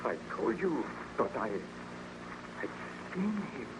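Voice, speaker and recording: A man's voice: medium pitch, sing-song, slow. An actor playing a haunted, fearful young nobleman in an old-time radio drama, speaking in a hesitant, melodramatic, evasive way. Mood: anxious, urgent. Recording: noisy, muffled old-time radio recording.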